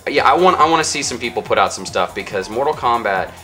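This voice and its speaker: A man with a medium-pitched, sharp and forceful voice gives casual countdown commentary. He explains things in an animated way as a co-host. Music runs behind the talk. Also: fast. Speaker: co-host of a video game review show.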